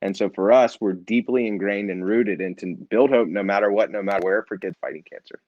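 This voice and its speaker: A man's voice: lowish voice, fairly quick, darkish tone, no room sound, dull audio. A man being interviewed about his nonprofit and his book, talking in an earnest, reflective way with frequent restarts. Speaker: nonprofit founder and author, guest. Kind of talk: conversational interview.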